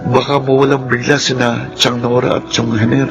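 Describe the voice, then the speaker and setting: A man's voice: medium pitch, level tone, normal speed, creaky, dull audio, natural voice. A man playing a sentimental, grateful young orphaned nephew in a Filipino radio drama. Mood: fearful, heartfelt. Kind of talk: Filipino radio drama acting and first-person narration.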